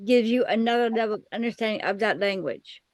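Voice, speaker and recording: A woman leading a casual Bible study discussion, often affirming others with soft "mm-hmm"s. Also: on the high side, fast talker, dry recording.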